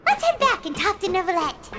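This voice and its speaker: A tiny, curious fairy companion, squeaky and bubbly. Her very high voice bounces all over, with loud music playing behind. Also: very animated, fairly quick.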